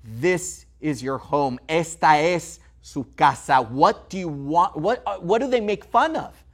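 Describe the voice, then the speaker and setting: A man voicing a patient mother of two boys, asking slow, gentle questions. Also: sits low, expressive, breathy edge, full character voice. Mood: calm.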